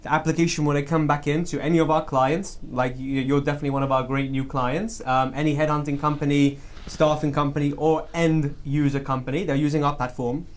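A man's voice: fairly high pitch, a little fast. A man, the founder of a company, explaining at length how his business works in a casual talk show studio interview. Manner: steady, explanatory, talks in long run-on lists.

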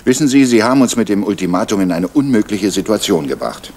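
An actor playing a calm, authoritative male police captain in a radio crime drama. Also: fairly low pitch, quick pace.

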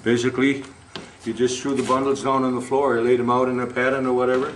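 A man's voice: mid-range voice, level tone, fast, low-quality recording. Older man, museum guide, folksy rambling talk, barn tour, faint background hum. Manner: folksy, rambling, full of "you know" and "okay?". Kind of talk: casual explaining on a tour. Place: inside an old wooden barn. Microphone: camera mic, handheld camera.

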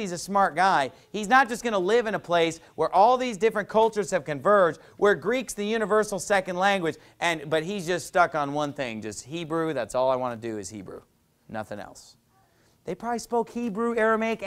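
Animated preaching man. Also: sits high, moderate pace. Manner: animated, gesturing, conversational preaching with asides. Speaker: pastor. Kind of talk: sermon. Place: small church stage with painted backdrop, indoors. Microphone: lapel mic.

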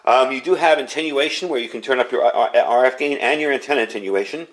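A man's voice: mid-range voice, fast talker, a little hiss or hum, poor audio. A middle-aged American man giving a casual, unscripted product demonstration in a chatty, explanatory way. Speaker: product reviewer. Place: indoor room, at a tabletop.

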